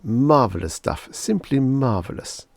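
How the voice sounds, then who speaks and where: An anxious suburban householder, played by a male narrator in an audiobook story. He sounds overeager and a little fretful, his voice lifted in pitch. The sound is dry and close. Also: expressive, unhurried, creaky, no echo. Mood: eager, enthusiastic. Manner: overeager, enthusiastic, slightly fretful. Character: anxious, eager-to-please male suburban customer. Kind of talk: audiobook character dialogue.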